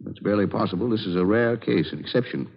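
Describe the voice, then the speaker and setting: An actor playing a calm, authoritative medical officer with a measured, reassuring manner in a 1940s radio drama. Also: male voice, fairly low pitch, vocal fry, husky. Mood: thoughtful. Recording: dull old radio broadcast.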